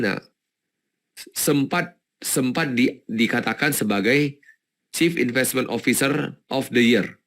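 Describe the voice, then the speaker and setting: A man's voice: mid-range voice, a bit bright. A man presenting a stock analysis webinar in an informal, explanatory way, thinking aloud and repeating words as he goes. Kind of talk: informal live webinar commentary.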